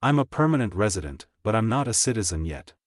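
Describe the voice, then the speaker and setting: Low-voiced man reading sentences slowly. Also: dry recording, clean studio sound. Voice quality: plain, even reading of each sentence, repeated. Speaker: English teacher reading practice sentences. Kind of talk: slow, clear scripted reading for shadowing practice.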